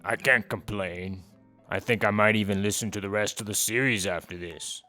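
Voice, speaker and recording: A man's voice: fairly low pitch, fairly even intonation, normal speed, pushed and bright, soft background music. A man playing a grudging, grumbling guest in a scripted comic audio skit. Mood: grudging, grumpy.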